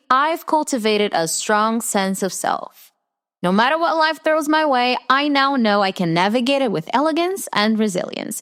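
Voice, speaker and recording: Brazilian woman with an americanized english accent hosting her own podcast from her room, talks earnest and deliberate, voice goes up and down a lot. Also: fairly high pitch, fairly bright tone. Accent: Americanized English with Brazilian Portuguese roots. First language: Portuguese. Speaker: podcast host. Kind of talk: scripted solo podcast monologue.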